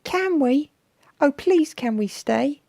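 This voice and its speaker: Tiny squeaky young beast. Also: expressive, slow, creaky, a little mellow, heavily acted. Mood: pleading. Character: small, young, sad strange beast child, high voice.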